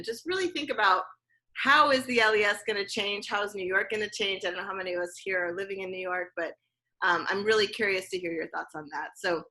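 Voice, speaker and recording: A woman hosting and introducing an online event over a video call, speaking informally and haltingly as she thinks aloud. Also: medium pitch, sharp tone, rough recording. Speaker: event host from a photography foundation.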